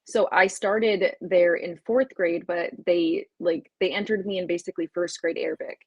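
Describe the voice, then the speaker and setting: A woman's voice: on the low side, darkish tone, slightly rough, no echo. A woman in her thirties, a podcast guest, telling her life story in a casual, chatty way, full of fillers and likes. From United States and Palestine.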